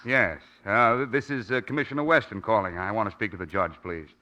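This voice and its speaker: A man's voice: lowish voice, fairly quick, pushed and bright. A man playing a blustering, flustered and hesitant police commissioner, in 1940s radio acting style. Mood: nervous. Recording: old 1940s radio recording.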